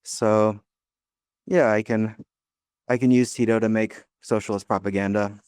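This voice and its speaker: A young American man from the United States, answering interview questions casually with many pauses, fillers and restarts. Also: fairly low pitch, steady tone, a little mellow, clean tone, no echo, studio quality. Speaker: guest, laundromat owner.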